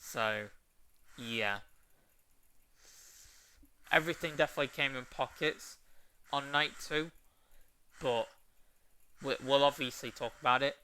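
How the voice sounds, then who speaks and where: Slow, bright-voiced man reviewing. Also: medium pitch, clear, resonant tone. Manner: unhurried, drawn-out opinions with trailing fillers. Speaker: wrestling show reviewer. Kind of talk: casual video review.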